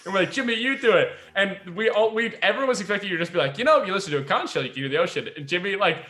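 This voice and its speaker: A man chatting casually and reminiscing as a podcast host, in an animated, storytelling way. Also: sits high, rapid-fire. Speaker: podcast host and audio drama creator. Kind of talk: casual chat, reminiscing among friends. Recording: roomy echo, faint music behind.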